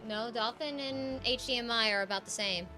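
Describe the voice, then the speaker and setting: Woman deliberately deepening her high voice. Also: poor audio. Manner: playful and teasing. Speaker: game streamer. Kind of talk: casual livestream chat while gaming. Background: game music.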